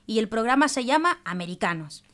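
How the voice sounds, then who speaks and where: Lady radio host, voice a bit high, explains stuff like a teacher, scripted. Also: animated, fairly quick, a bit bright. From El Salvador. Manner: explanatory, didactic. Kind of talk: scripted radio presentation in dialogue.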